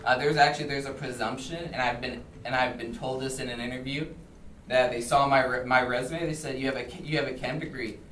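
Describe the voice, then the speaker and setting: A man's voice: mid-range voice, steady tone, fairly quick, a bit bright. A man in his thirties giving a live seminar talk as the speaker. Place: indoor seminar room. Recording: roomy, distant seminar recording with music.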